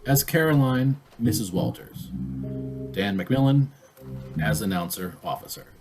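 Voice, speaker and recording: A man's voice: medium pitch, expressive, unhurried, darkish tone, lightly acted. Man doing an old-time radio announcer, formal, declaiming, faint guitar music behind. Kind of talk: community theater radio play.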